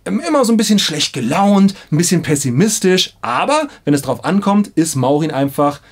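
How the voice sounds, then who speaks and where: A man in his thirties with a fairly high voice chats casually and enthusiastically. He speaks as an audio drama producer in a small indoor studio. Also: animated, normal speed. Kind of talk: enthusiastic casual talk to camera.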